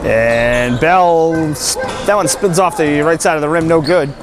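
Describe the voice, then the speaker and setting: A man giving live play-by-play of a high school basketball game, excitable and quick to jump up in pitch on big plays, with light jokes between calls. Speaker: play-by-play announcer. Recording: loud gym noise under commentary. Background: crowd and bouncing basketballs.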